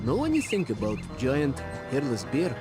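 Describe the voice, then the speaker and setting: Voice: mid-range voice, wildly swooping pitch, moderate pace. An actor voicing a blunt, menacing young wrestler in a cartoon video game, with a Russian accent and clipped broken English. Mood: deadpan menace. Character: blunt menacing foreign boy wrestler at summer camp. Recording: loud game music behind.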